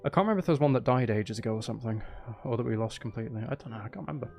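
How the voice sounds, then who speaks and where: A man with a mid-pitched voice hosts a casual gaming livestream over faint music. His intonation swings widely as he banters with viewers. He is playful and mock-dramatic, often poking fun at himself. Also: expressive, very fast, vocal fry, a little mellow. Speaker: streamer hosting a live game stream.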